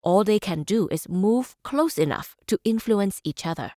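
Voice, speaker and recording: A dry, close recording of a woman acting as a thoughtful female chancellor in a strategy game. Her mid-pitched voice is formal and deliberate, like a scholar lecturing. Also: lively intonation, warm tone, no room sound, lightly acted. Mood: reflective. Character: measured, idealistic female scholar-chancellor and stateswoman. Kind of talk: scripted game character speeches and lectures.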